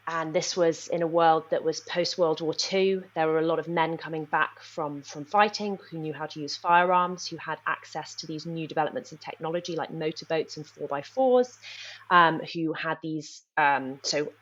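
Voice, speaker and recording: Young woman researcher from Nepal, deep voice, slightly muffled audio. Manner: thoughtful, many ums, talks fast in bursts. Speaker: researcher, guest. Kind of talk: podcast interview.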